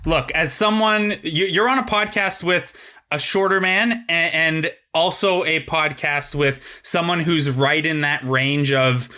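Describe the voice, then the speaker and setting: A man's voice: fairly high pitch, unhurried, pushed and bright. A man, chatting casually and asking questions as a podcast host. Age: 30s. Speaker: podcast host, sports journalist. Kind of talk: casual chat, interviewing.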